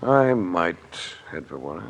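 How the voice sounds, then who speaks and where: A man's voice: low voice, very animated, unhurried, lo-fi sound, heavily acted. A man playing a cool, tough insurance investigator in a 1950s radio detective drama, dry, terse and hard-boiled. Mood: cool, firm. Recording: old radio recording with clear noise.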